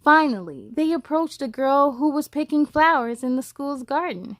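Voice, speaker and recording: High pitched lady doing story time for kids, expressive, chats to the listeners, dry sound. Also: normal speed, dry recording. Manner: expressive, addresses young listeners directly. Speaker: children's story time host reading a picture book aloud. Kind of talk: read-aloud storytelling with chatty asides to children.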